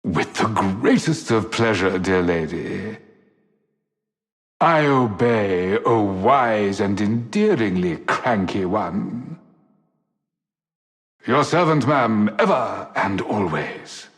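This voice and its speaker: Flamboyant, grandiloquent rogue, swooping theatrically. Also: male voice, lowish voice, animated, slow, soft background music. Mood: mock-gallant. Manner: florid, mock-gallant, theatrical delivery. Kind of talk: theatrical video game character acting. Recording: long echoing hall reverb.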